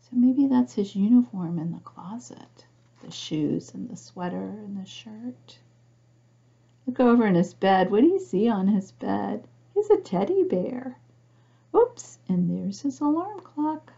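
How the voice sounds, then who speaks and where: A woman reads a picture book aloud to young children, pausing to explain things simply and ask the listener questions. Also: medium pitch, expressive, creaky, warm tone, breathy edge, muffled recording. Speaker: storybook reader for young children.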